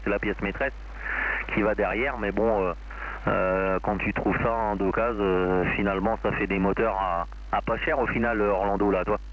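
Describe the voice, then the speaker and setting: A man chatting casually over amateur radio, hesitant and circling around his explanations. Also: fairly low pitch. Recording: dull, noisy radio reception.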